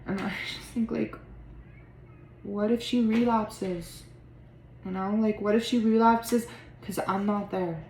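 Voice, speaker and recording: An actress playing a young woman who confides in a therapist, talking haltingly with many fillers and a slight vocal fry. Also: fairly low pitch, fairly even intonation, a little mellow, reverberant, a little hiss or hum, poor audio. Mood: frustrated. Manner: halting, hesitant talk full of fillers. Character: young woman confessing to a therapist, anxious and guilt-ridden. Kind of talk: intimate drama dialogue.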